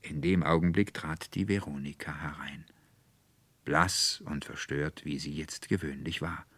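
A low-voiced man reads a literary tale as an audiobook narrator. His delivery is expressive and scripted. The recording is close and dry. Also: measured pace, no echo.

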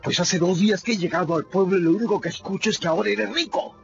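A gravelly, drunken brute of a father. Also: high voice, fast. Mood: demanding. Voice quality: gravelly, menacing. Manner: bullying, barking, swings between growls and yells. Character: drunken brutal backwoods father, older man. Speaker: radio drama actor. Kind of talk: radio drama acting. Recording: dull lo-fi radio recording. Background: clear music bed.

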